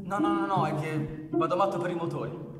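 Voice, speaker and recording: A man's voice: high voice, fairly quick, close to an everyday voice. A man playing an awkward, polite, nervous character in a theatrical radio drama, flustered and apologetic. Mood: flustered, eager. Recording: distant echoey hall, music behind.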